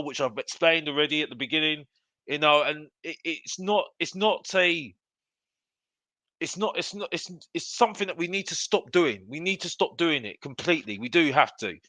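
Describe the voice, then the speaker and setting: Bright, emphatic man ranting. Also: fairly high pitch, normal speed, no echo. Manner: emphatic, repetitive, exasperated. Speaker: host of a football fan show. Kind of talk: live opinionated fan rant to viewers.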